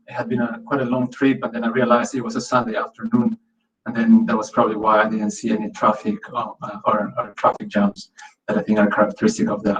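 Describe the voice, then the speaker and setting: A man's voice: mid-range voice, recorded from a distance, highs cut off. A man from Peru giving an online academic talk in English, hesitant with many fillers and restarts. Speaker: guest lecturer.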